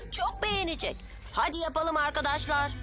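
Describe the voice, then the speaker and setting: A voice actor playing an eager, confident young boy motorbike, a helpful big brother in a children's cartoon dub, excitable and boastful. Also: high voice, a little slow. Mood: excited. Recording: loud music behind.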